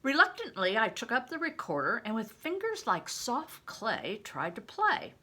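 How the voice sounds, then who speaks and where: An older woman reading a story aloud in a deliberate, dramatic, teacherly way, with chatty explanatory asides. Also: a little high, wildly swooping pitch, pushed and bright.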